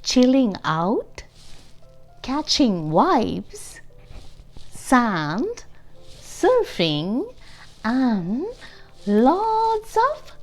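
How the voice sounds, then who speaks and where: A high-pitched woman reads a storybook aloud to small children over background music. Her delivery is very slow and expressive, leaning on key words. She pauses the tale to explain and ask questions.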